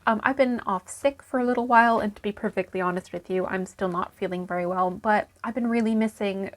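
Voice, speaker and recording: A woman talks through her recent reading in a relaxed, chatty way, her voice a little croaky because she is still under the weather. She fills pauses with ums and restarts her sentences as she thinks. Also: mid-range voice. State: croaky voice from illness. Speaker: book vlogger. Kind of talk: casual book review chat.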